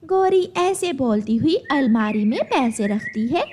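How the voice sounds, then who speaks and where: A woman's voice: high-pitched, expressive, measured pace, noisy background. A woman reading scripted narration for a children's cartoon story.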